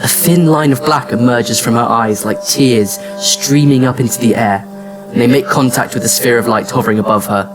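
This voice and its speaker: A man performs a wry, understated first-person narrator in a sci-fi audio drama. His voice sits at a medium pitch, and music plays clearly behind it. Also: moderate pace, close to an everyday voice, poor audio. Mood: hushed wonder. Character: ancient yet youthful, patient, wry male adventurer narrating his story.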